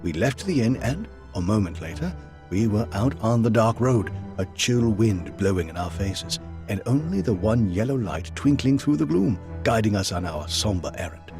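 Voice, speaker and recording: Apprehensive loyal gentleman sidekick, earnest, formal storyteller, animated pitch, audio drama, soft music behind. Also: normal speed. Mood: suspenseful narration. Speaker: actor and narrator in an audio drama. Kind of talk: audio drama acting and first-person narration.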